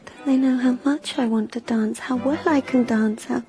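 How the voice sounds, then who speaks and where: Actress as an excitable, high-voiced young noblewoman. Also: moderate pace, soft background music, lightly acted, poor audio. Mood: despairing. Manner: breathless, girlish, impulsive. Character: excitable, anxious young noblewoman at her first ball. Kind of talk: period radio drama acting.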